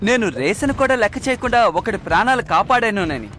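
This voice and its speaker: A man playing a brave, eager teenage boy hero in a lively cartoon dub. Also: high-pitched, fast, a little hiss or hum. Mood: casual, self-assured. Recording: loud music over the voice.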